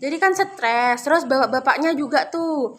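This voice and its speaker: A young woman with a high voice delivers a short Islamic talk as a student speaker. Her delivery is conversational and somewhat halting, with words often repeated as she finds her way through the sermon. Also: lively intonation, slight background noise. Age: young adult.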